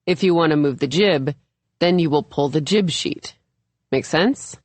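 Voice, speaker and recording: A woman's voice: low-pitched, a little slow, darkish tone, dry recording. A woman voicing an easygoing man who teaches sailing in an audiobook, patient, encouraging and lightly teasing. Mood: instructive. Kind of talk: audiobook character dialogue.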